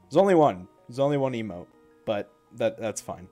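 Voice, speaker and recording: A young man talks casually to his live stream, sounding stuffy and congested from a cold. He rambles, stops and restarts himself as he talks. His voice sits at a middle pitch, with faint game music playing behind him. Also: very animated, normal speed, dark tone. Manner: rambling, self-correcting, chatty.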